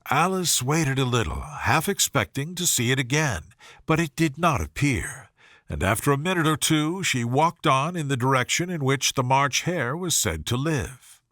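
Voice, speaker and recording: A man narrating a bedtime story in a soothing, gentle, measured way. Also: mid-range voice, sing-song, no room sound, studio quality.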